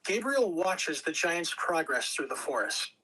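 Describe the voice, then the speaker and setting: A man's voice: on the high side, big pitch swings, measured pace, bright, forceful tone, breathy edge, poor audio. A man reading stage directions as the narrator at a table read of a fantasy script.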